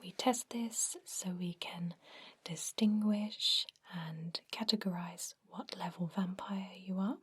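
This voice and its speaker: Slow, breathy whispering woman. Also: medium pitch. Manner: soft, reassuring, unhurried whisper. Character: kindly, reassuring female vampire doctor. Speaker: ASMR performer playing a doctor. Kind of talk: whispered ASMR medical roleplay.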